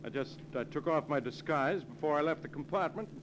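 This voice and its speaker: An actor playing a scheming, self-assured killer and secret lover in 1940s radio mystery style, theatrical and coolly commanding. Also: male voice, on the high side, a little fast, lightly acted. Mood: smug, calculating. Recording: noisy old radio show recording.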